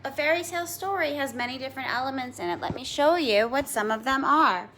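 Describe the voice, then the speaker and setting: Woman teacher reading to kids, kinda high voice, really lively, faint noise in the background. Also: brisk. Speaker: elementary school teacher. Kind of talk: read-aloud lesson for children.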